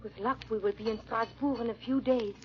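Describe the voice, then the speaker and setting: An actress playing a loyal, robust maidservant, excitable yet brave, in melodramatic 1940s radio drama style. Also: female voice, on the high side, a little fast, lightly acted. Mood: tense, bracing. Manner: melodramatic, alarmed, deferential. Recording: muffled vintage radio recording.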